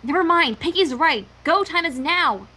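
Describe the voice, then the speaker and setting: A brainy little toy pony mare, acted by a woman, speaks in a high, bright voice. She is analytical and resolute, a small heroine in an amateur storybook reading. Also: lively intonation, normal speed, exaggerated voice. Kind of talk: amateur fan fiction dramatic reading.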